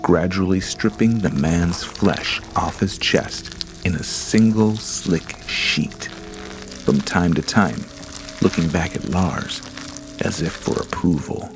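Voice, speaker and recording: A man narrating a horror story in a slow, measured, ominous reading. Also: sits low. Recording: studio voice-over with creepy background music.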